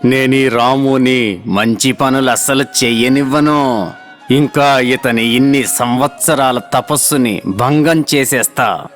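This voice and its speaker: A man's voice: medium pitch, slow pace, natural voice. A man playing a spiteful, scheming villain in a children's cartoon story, over music. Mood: scheming, resentful.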